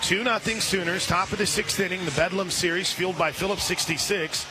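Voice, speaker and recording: A man calling live softball play-by-play as a radio announcer. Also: sits high, fairly even intonation, brisk, over music, poor audio. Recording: live outdoor ballpark broadcast, clear ambient noise.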